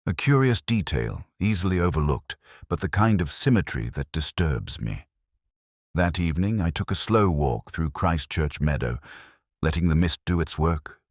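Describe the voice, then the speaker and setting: Man with a very low voice and animated pitch, for calm, deliberate first-person detective narration. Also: no echo, studio quality. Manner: measured, reflective, deliberate. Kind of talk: scripted literary narration.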